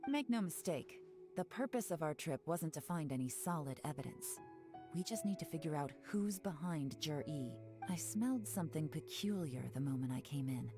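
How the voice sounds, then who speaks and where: A woman voicing a cool, composed female intelligence agent in a video game, talking in a calm, measured, knowing way. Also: deep voice. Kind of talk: game dialogue. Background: game music.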